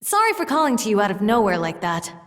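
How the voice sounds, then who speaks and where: An actress playing a gentle, easily startled motherly townswoman in a video game, with a breathy voice. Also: mid-range voice, big pitch swings, fast talker, natural voice. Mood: dazed, gentle. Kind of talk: video game cutscene acting.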